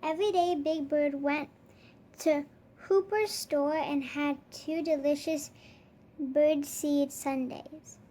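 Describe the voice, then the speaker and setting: A child with a high voice reads a storybook aloud at an unhurried pace. The delivery is that of a read-aloud for young listeners. There is faint background noise in the recording. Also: steady tone, mellow. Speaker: storybook reader.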